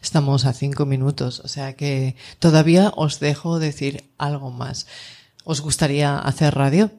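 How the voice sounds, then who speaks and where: A woman's voice: low-pitched, fairly even intonation, normal speed, warm tone. A woman hosts a radio show in easy, casual chat. She laughs often and trips over her words, restarting phrases as she goes.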